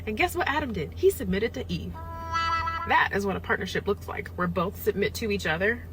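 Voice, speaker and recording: A woman's voice: medium pitch, lively intonation, a little fast, over music, low-quality recording. A young American woman giving an opinionated social media monologue in a blunt, didactic manner. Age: young adult. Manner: blunt, didactic, quotes imagined objectors.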